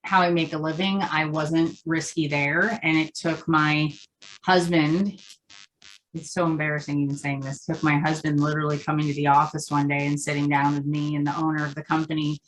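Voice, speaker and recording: A woman's voice: deep voice, little pitch movement, moderate pace, slight background noise, rough recording. A woman who is an interview guest from the lending business, chatting casually and telling personal stories. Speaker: interview guest, loan officer. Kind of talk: casual chat, personal storytelling.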